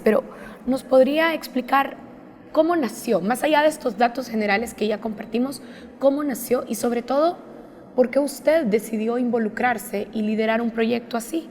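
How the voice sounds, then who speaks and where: A woman's voice: on the high side, darkish tone. A woman speaking formally as a television interview host. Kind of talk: formal broadcast introduction and questioning. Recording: reverberant room with background noise.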